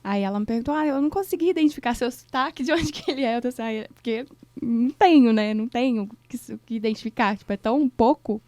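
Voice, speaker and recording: A woman co-hosts a radio chat show with a somewhat high voice. She tells her anecdotes in an expressive, dramatic way. Her voice sounds dry and close in the studio. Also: quick pace, dry recording. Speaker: radio co-host. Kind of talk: casual chat.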